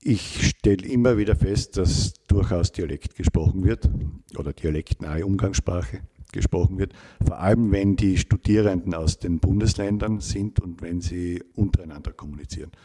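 A middle-aged professor speaks in a very low, husky voice, measured and academic, often restarting a phrase to find the right word. His tone is dark and mellow. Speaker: linguistics professor. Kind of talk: interview answers.

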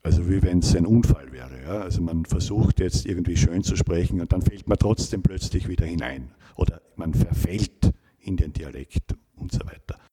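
A middle-aged man, a linguistics professor giving measured, academic interview answers and often rephrasing himself. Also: deep voice, very noisy.

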